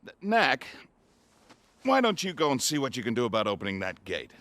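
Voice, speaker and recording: An actor playing an excitable, learned and determined scientist mentor in an animated video game. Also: male voice, mid-range voice, a little fast. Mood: composed, purposeful.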